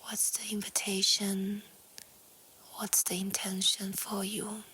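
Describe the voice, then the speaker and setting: Hushed, whispery woman guiding slow meditation. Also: medium pitch, rough recording. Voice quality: soft, breathy, whispery. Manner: calm, hushed, gentle, with short pausing phrases. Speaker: yoga nidra meditation guide. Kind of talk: guided relaxation meditation. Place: outdoors in nature. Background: faint natural ambience.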